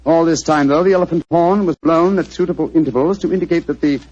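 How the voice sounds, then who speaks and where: A man acting a brilliant, self-assured English gentleman detective in a 1940s radio drama, with a British accent and precise, theatrical delivery. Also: fairly high pitch, fast talker, lightly acted. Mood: confident explaining. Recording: muffled, dull 1940s radio recording.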